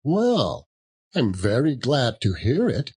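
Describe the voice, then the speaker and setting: A man voicing a jolly young gentleman in an audiobook, hearty and good-humoured, chuckling between phrases. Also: mid-range voice, huge pitch swings, takes it slowly, slightly rough, voice pushed up, full character voice. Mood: teasing. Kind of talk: audiobook character voice.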